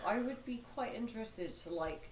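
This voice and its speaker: A woman co-hosting a game stream, chatty and quick with asides, with a low voice that people call masculine. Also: animated, crowd noise. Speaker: co-host. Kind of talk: casual gameplay chat. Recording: echoey room with background noise.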